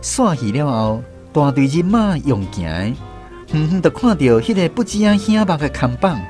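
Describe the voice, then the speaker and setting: Male radio drama narrator, Taiwanese accent: expressive, high-pitched, lively melody, background music. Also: normal speed.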